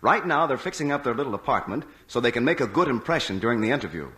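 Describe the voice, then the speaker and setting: A man's voice: mid-range voice, very animated, fast talker, a bit bright. A man announcing a variety show in formal, scripted 1940s radio style. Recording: old radio broadcast with audience noise.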